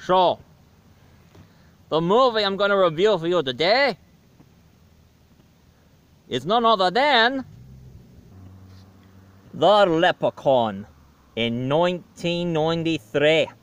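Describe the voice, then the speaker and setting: A man's voice: high voice, animated, measured pace, pauses often, fairly bright tone, clear, resonant tone, voice pushed up. A man doing a cheeky Irish leprechaun. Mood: jolly. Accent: put-on Irish. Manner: jokey, rambling with fillers. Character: cheeky male leprechaun reviewer. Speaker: movie reviewer.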